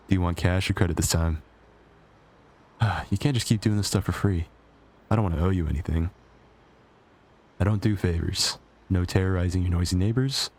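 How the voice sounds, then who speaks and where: A man playing a cocky, possessive, sarcastic supervillain with a soft spot, in an intimate ASMR roleplay with a teasing, conversational delivery. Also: low voice, close to an everyday voice.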